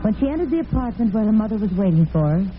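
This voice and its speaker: A woman's voice: mid-range voice, big pitch swings, rapid-fire. A woman narrating a story in the dramatic style of old-time radio. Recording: tinny vintage radio with music underneath.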